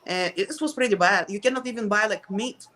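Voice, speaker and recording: A woman's voice: medium pitch, a bit bright. A middle-aged woman from Russia with a Russian accent, answering casually in an interview and sometimes searching for words in English. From Russia, Soviet Union. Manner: halting, searching for words in non-native English. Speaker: interview guest.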